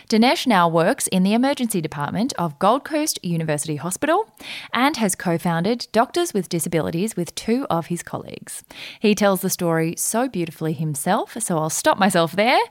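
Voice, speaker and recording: Bubbly woman hosting a podcast. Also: fairly low pitch. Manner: bubbly, laughs often. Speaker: podcast host. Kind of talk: interview podcast chat. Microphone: remote recording, some muffled spots.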